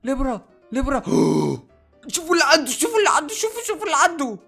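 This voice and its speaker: A young man with an Egyptian accent commentating excitedly on Minecraft gameplay, animated and exclamatory as he addresses viewers. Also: extremely high pitch, very fast. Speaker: gaming YouTuber and host. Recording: music playing behind the voice.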